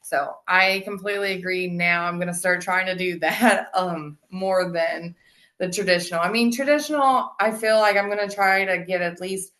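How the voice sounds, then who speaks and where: A woman's voice: fairly low pitch, moderate pace, fairly bright tone. Chatty woman host, laughing often. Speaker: podcast host. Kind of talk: casual interview chat.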